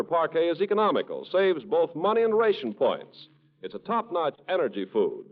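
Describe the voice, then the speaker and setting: A man working as a radio announcer, reading a scripted 1940s sponsor message in a polished, salesmanlike way. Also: a little high. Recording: tinny 1940s radio, music behind.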